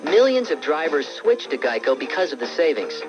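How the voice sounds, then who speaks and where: A male narrator with a fairly high, animated voice reads an insurance ad conversationally. The sound is dull and lo-fi, with music behind. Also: moderate pace. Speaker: commercial narrator.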